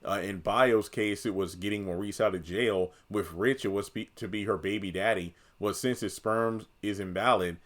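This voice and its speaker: A man with a lowish voice, the kind heard on a fan's recap channel, chatting to viewers as he puzzles out last night's episode. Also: normal speed, few pauses. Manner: conversational, thinks aloud, addresses viewers directly. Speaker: video commentator. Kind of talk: casual opinion commentary on a TV drama.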